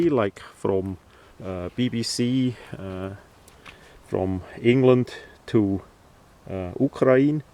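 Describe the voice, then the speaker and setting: A man presenting an informal, unscripted how-to demonstration in a halting, hesitant way. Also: lowish voice, dark tone. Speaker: video presenter, radio hobbyist.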